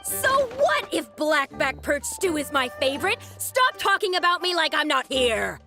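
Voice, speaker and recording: A self-important young archaeologist for a video game. Her voice is high and very lively in pitch. She declaims pompously and bristles easily, over faint music. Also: a little slow, exaggerated voice. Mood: defensive. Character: boastful, hot-tempered young woman, self-proclaimed famous archaeologist. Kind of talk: video game cutscene acting.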